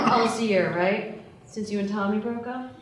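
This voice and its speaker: A woman's voice: mid-range voice, normal speed, natural voice. A woman reading the part of a brash, bossy young criminal in a screenplay table read, blunt and teasing. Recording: echoey room, distant mic, background noise.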